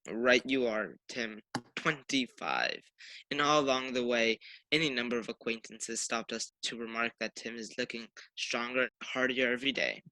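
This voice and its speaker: A man's voice: mid-range voice, moderate pace, bright, forceful tone, a little hiss or hum. A man playing a merry, tender-hearted father, a poor clerk, in a radio play.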